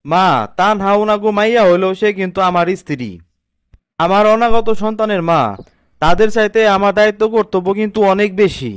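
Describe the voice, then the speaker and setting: A man as an earnest, high-voiced young husband. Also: brisk, smooth, no room sound, studio quality, close to an everyday voice. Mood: caring, reassuring. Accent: Bangladeshi colloquial. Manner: earnest, reasoning, lecturing. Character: earnest, protective young husband, firmly principled. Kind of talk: cartoon drama dialogue.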